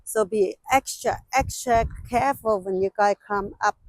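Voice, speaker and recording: A woman who is a non-native English speaker, chatting casually to viewers as an exclamatory travel vlogger in simple, halting phrases, outdoors on a handheld camera. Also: medium pitch, very noisy. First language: not English. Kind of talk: casual sightseeing vlog, talking to viewers. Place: outdoors at a windy mountain lookout.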